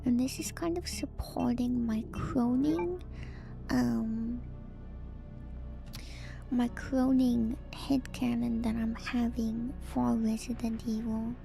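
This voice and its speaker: A woman giving whispered ASMR commentary as a streamer, in a soft, breathy, hushed and musing way, close to the microphone. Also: a little high, fairly even intonation, slow pace, soft background music. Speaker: streamer, host of a lore breakdown.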